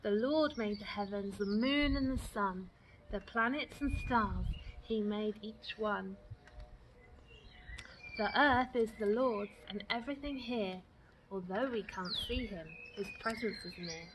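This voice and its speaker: A woman's voice: medium pitch, measured pace, lightly acted. Woman voicing a solemn, kindly messenger bird, noisy recording. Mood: reverent, gentle.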